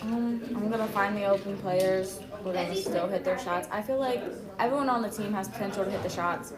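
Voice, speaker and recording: A woman's voice: mid-range voice, a little fast. A teenage girl basketball player giving brief, hesitant answers in an interview. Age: teens. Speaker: high school basketball player. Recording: echoey gym with loud crowd.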